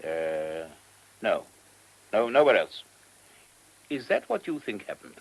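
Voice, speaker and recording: An actor playing a measured, thoughtful abbot in a radio play, giving considered and sometimes hesitant answers. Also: male voice, lowish voice, big pitch swings, exaggerated voice, poor audio.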